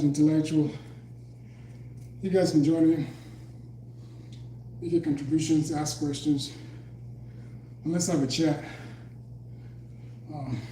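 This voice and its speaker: Slightly hoarse man in an echoey room. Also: fairly high pitch, mellow. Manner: loose and rambling, talking between exercise sets. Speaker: fitness vlogger. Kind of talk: casual workout chat. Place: home workout room. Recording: very echoey room, mic far off, faint hum.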